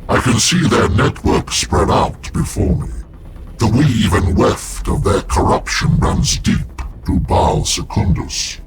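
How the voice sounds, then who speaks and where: An actor playing an ancient warrior-psyker sealed in a war machine, speaking formally and solemnly as the game's narrator. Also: male voice, medium pitch, expressive, slow, heavy background noise. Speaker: librarian, narrator. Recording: with loud game music behind.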